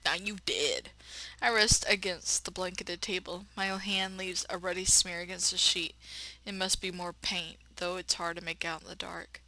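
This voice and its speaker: Female let's player, bright tone, expressive narration with playful, blunt running commentary. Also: on the low side, normal speed, no room sound, low-quality recording. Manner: expressive narration broken by blunt sassy asides. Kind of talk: reading a visual novel aloud with playful running commentary.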